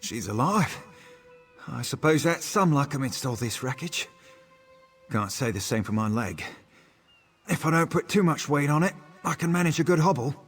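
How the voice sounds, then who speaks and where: A man's voice: a little high, breathy edge, full character voice. A man voicing a weary, earnest, limping elf marine in a fantasy video game.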